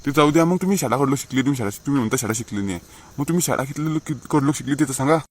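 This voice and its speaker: A man acts out an impatient young bachelor from a village cartoon. He complains and argues in a rural Maharashtrian accent, at a middling male pitch. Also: expressive, racing, natural voice. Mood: arguing. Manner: complaining, argumentative. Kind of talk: comic Marathi village cartoon dialogue.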